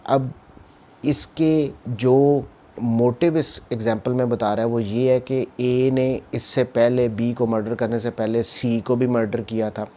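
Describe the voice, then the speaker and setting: Man, Pakistani accent, mid-pitched, dark relaxed timbre, law lecturer, explanatory delivery, English terms mixed in. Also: unhurried. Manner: explains step by step with questions he answers himself.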